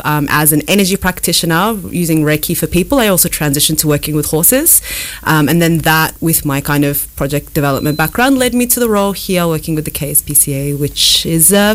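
A woman's voice: low voice, fairly quick. A young woman from Ethiopia, a horse welfare coordinator, telling stories on a panel in an easygoing, thoughtful way. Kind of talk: casual radio panel chat.

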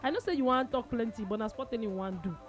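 A woman's voice: fairly high pitch, fast, soft background music. A woman with a Nigerian accent hosting a radio sports show, teasing her co-host and reading listener texts in pidgin-laced English.

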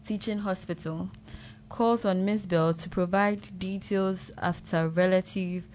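Woman reading a headline, very slow, lowish, faint room ambience. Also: steady tone, a little mellow, slight background noise. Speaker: co-host reading news.

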